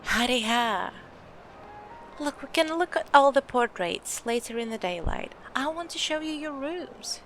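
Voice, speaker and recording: A woman's voice: sits high, lively intonation, a little slow, a bit bright, a little hiss or hum, low-quality recording. A woman playing a wealthy, playful host of a country house in an audio drama, self-assured and teasing. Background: music.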